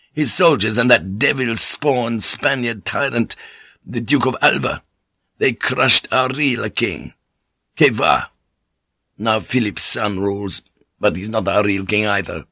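A man's voice: medium pitch, unhurried, pushed and bright, husky, no echo, heavily acted. A man voicing a stern, hostile Portuguese priest in his twenties, impatient and accusing, in audiobook dialogue. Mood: indignant, bitter.

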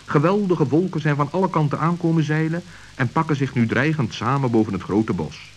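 A man narrating a scripted children's story in a measured, dramatic manner. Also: fairly high pitch. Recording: hissy old radio recording.

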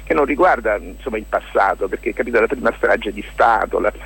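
A man with a fairly deep, rough-edged husky voice answers as a radio interview guest. He talks in a halting, spontaneous way, repeating himself and seeking confirmation as he goes. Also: fairly bright tone, low-quality recording. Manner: halting, circling back with check-in tags like "capito" and "no?". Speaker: lawyer and stage author, interview guest.

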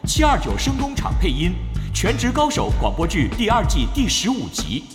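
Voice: medium pitch, wildly swooping pitch, normal speed. An announcer reading scripted production credits for an audio drama. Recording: long echoing reverb, music behind. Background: music.

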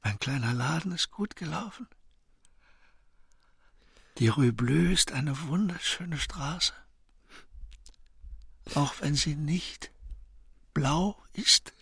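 An older actor playing a serene, wise old shopkeeper in a radio drama, breathy, tender and philosophical. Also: male voice, on the high side, takes it slowly, pauses often, a bit bright, no echo, heavily acted. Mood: serene, weakened. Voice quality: husky, breathy.

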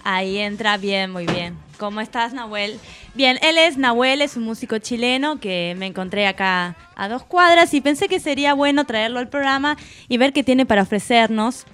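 A woman's voice: a little high, normal speed, a bit bright, clear, resonant tone, soft background music, natural voice. A woman playing a pompous, easily offended self-styled expert who talks in a theatrical, wounded, self-important way on a radio comedy show in a studio. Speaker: radio columnist character.

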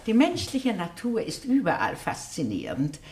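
An actress playing a shrewd, inquisitive elderly spinster sleuth in a radio drama, gossipy and slyly probing. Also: female voice, on the low side, huge pitch swings, moderate pace, darkish tone, a little hiss or hum. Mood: sly, self-assured.

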